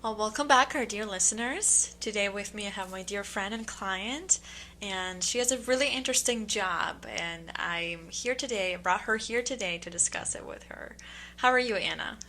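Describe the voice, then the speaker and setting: Bright-voiced woman podcast host. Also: medium pitch, a little hiss or hum. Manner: friendly, laughs often, quick encouraging replies. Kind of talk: casual interview chat.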